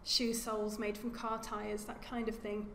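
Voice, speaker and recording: A woman archaeologist giving an academic lecture at a lectern, speaking in a measured way and often repeating words as she corrects herself. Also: medium pitch, level tone. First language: English. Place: lecture room. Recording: echoey lecture hall with faint hum.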